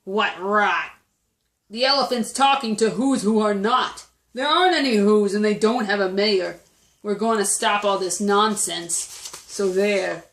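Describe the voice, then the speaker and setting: A woman voicing rowdy monkey brothers from a storybook, shouting and mocking. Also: sits high, normal speed, distant mic, voice pushed up. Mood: scornful shouting. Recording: echoey room.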